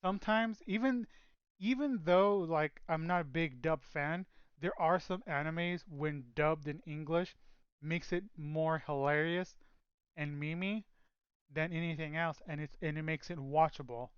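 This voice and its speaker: A man on the higher side of the male range rambles through a casual chat, leaning on "like" and restarting sentences. His voice comes through smooth and clear, with a dry, slightly muffled mic sound and no room behind it. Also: unhurried, no room sound. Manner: rambling, many fillers and restarts. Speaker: podcast co-host.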